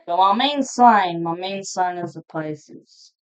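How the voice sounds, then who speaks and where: Woman, lower-pitched smooth voice, blunt and sweary, casual tarot reader, unscripted. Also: animated, takes it slowly, poor audio. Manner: blunt, foul-mouthed, informal. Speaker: tarot reader hosting a zodiac reading. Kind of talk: casual, unscripted tarot reading addressed to viewers.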